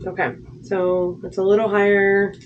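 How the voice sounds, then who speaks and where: A woman with a gentle, reassuring manner asks short questions. She sits a little way from the mic, and the recording sounds dull with faint noise. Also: mid-range voice, little pitch movement, moderate pace, recorded from a distance. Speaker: audiologist. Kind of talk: clinical guidance.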